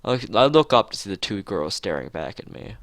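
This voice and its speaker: A man's voice: on the low side, big pitch swings, a little fast, fairly bright tone, dry recording, studio quality. A man reading a visual novel aloud in an informal let's play, stumbling over words and restarting phrases, with off-the-cuff asides.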